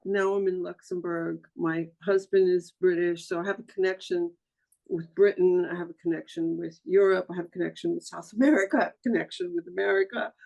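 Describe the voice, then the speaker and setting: A woman from Argentina on a video call, speaking earnestly and haltingly with many pauses and fillers. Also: on the low side, steady tone, vocal fry, darkish tone, poor audio. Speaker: audience member on a video call. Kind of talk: casual chat.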